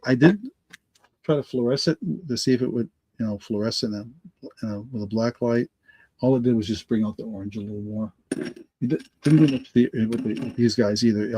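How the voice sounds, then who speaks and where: A man hosting a live online auction in a rambling, halting way, full of restarts and fillers, hoarse from allergies. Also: medium pitch, warm tone, dry recording, rough recording. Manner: halting, lots of ums and restarts.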